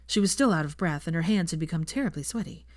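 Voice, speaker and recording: A woman's voice: on the low side, lively intonation, fast talker, dry recording, clean studio sound. A woman narrating a scripted story as an audiobook reader. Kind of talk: scripted fiction narration with character voices.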